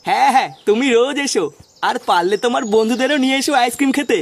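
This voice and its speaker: A man's voice: extremely high pitch, quick pace, gravelly. A man voicing a chatty ice cream shopkeeper in a comic cartoon dub. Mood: friendly. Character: friendly ice cream shopkeeper wanting payment.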